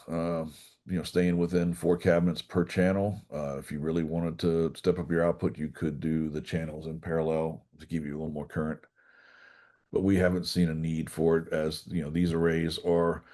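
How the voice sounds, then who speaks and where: A man presenting a technical webinar, explaining speaker setup in a relaxed way with frequent fillers. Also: low-pitched. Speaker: product trainer.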